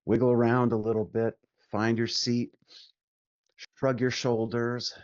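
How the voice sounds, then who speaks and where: Guy doing guided meditation, really slow and soothing, dry close sound, kinda muffled recording. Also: mid-range voice, flat delivery, no room sound. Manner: soothing, unhurried, gentle instruction with long pauses. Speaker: meditation teacher.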